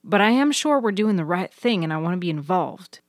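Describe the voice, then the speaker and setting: A woman's voice: fairly low pitch, expressive, fairly quick, no room sound, clean studio sound, lightly acted. A woman voicing a nervous but determined young woman in an audio story, earnest and direct. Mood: nervous, firm.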